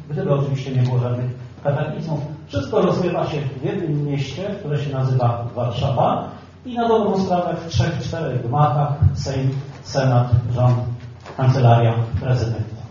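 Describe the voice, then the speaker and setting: A middle-aged man speaking to a seated audience through a handheld microphone, measured and explanatory, pausing with fillers. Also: medium pitch, mellow, a little hiss or hum. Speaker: organizer introducing a regional meeting. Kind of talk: public address to a seated audience. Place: hotel conference room with round tables. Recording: roomy, distant, dull hall sound.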